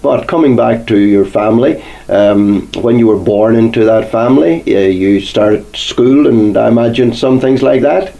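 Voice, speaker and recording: A man hosting a Sunday church program, asking questions slowly and measuredly. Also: mid-range voice, creaky, warm tone, highs cut off. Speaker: radio program host.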